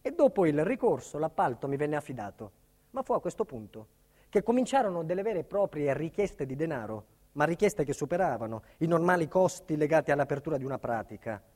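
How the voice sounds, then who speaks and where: A man's voice: on the high side, very animated, fairly quick, a little mellow. A man playing a defiant, indignant building contractor, delivering an emphatic theatrical monologue about his ordeal. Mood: earnest recounting. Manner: emphatic, dramatic storytelling. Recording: reverberant hall with long echo.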